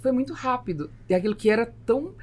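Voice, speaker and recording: A Brazilian woman hosting a podcast in a conversational interview style, telling things in an animated way. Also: a little high, fast. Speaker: podcast host.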